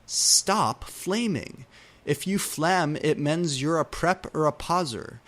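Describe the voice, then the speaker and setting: A man's voice: fairly high pitch, lively intonation, slow pace, fairly bright tone, voice pushed up. A man voicing a defiant teenage girl fanfiction author, whiny and indignant, with slangy mispronunciations. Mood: petulant.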